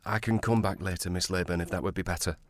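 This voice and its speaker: Earnest period sergeant played by a man, measured and formal, remorseful, lowish pitch. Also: steady tone, dry recording, natural voice. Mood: apologetic, solemn. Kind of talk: period audio drama acting.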